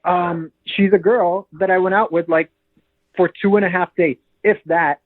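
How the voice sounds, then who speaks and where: A man talks casually on a call to a radio show. His voice is slightly high, and it sounds thin and narrow over the telephone line. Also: fairly even intonation, normal speed. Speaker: husband called on a radio show. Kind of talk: casual phone conversation. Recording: narrow tinny phone line.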